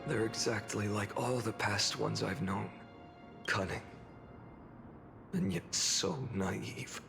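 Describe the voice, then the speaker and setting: Deep, weary, solemn warrior. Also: male voice, expressive, vocal fry, over music, full character voice. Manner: dejected, grave, deliberate. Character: world-weary solemn male warrior who knows too much.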